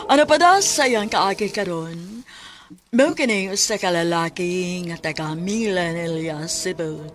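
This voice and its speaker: Slow-paced Filipino woman radio presenter. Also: on the low side. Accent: Cebuano Filipino.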